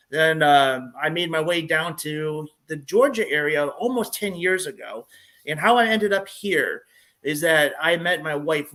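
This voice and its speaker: A man's voice: on the high side, a bit bright. A man in his thirties with a Southern US country accent, a guest vlogger who talks in a friendly, rambling way and restarts his sentences a lot. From Illinois. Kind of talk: casual interview talk.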